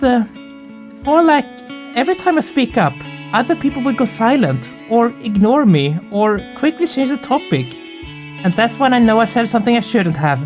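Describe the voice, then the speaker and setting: A game streamer with an informal, candid, somewhat hesitant way of talking, chatting casually and reading visual novel text aloud. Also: mid-range voice. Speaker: host of a visual novel playthrough channel.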